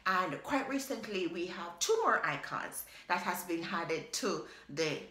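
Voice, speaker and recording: A woman's voice: fairly low pitch, a little slow. A Jamaican woman in her thirties talking casually to her phone camera in a small home kitchen, chatty and laughing often. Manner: chatty, animated, laughs often, repeats "yes, guys". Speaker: vlogger. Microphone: phone camera at arm's length. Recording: echoey kitchen.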